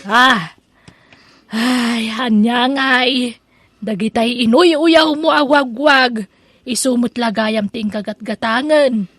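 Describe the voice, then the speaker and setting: A woman's voice: on the high side, slow, a bit bright, no echo, clean studio sound, exaggerated voice. A woman playing a nosy, scolding village gossip in an Ilocano radio drama, exclamatory and prying. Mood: scolding concern. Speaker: actor in a radio comedy drama.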